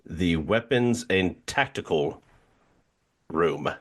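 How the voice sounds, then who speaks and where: A low-voiced man takes part in a tabletop game as a player. He talks casually and playfully, improvising as he goes, with halting stretches as he thinks aloud. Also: animated, a bit bright, slightly rough. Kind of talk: casual improvised role-play chat.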